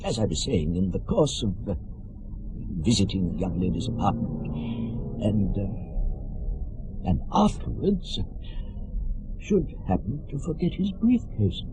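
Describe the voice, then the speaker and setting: An actor playing a genteel old rogue in a radio drama, hesitant, evasive and oozing mock politeness. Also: male voice, lowish voice, sing-song, slow pace, pauses often, music in the background, poor audio. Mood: oily, hesitant. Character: oily, genteel old male rogue selling secrets.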